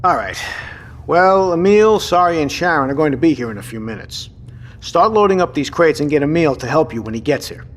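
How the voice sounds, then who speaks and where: An actor playing a gruff, impatient military commander with dry sarcasm in a naturalistic audio drama. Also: male voice, a little high, expressive, brisk, natural voice. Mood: commanding.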